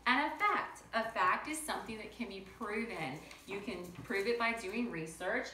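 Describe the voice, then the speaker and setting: Female primary-style teacher for read-aloud lesson: crisp bright tone, addresses children directly, recorded in a reverberant room. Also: medium pitch, normal speed. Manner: chatty, questioning, addresses young listeners directly. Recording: roomy echo with faint background noise.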